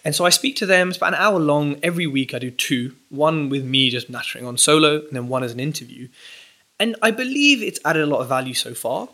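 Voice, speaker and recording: A young man chatting casually as a podcast guest, talking in an animated, rambling way with restarts. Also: a little high, quick pace. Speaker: podcast guest, property entrepreneur. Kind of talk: casual interview chat.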